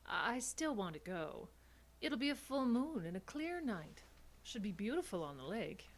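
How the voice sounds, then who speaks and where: Refined, lilting, eerie vampire wife. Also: female voice, on the high side, sing-song, moderate pace. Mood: eager. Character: polite, eerie female vampire wife, coolly insistent. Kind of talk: horror audio drama acting.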